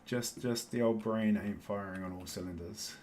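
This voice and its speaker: A man with a soft South London accent talks in a rambling, easygoing way while he games. His voice sits a little low, and he laughs often between thoughts. Faint noise hums behind him. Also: fairly even intonation, moderate pace. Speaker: Minecraft let's-play host. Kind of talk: casual chat while playing.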